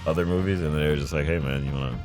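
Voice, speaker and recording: A man's voice: a bass voice, fairly even intonation, rapid-fire, a little mellow, soft background music. A man co-hosting a joking movie chat in slangy, profane, exaggerated language. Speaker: podcast co-host. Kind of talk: casual, joking movie banter.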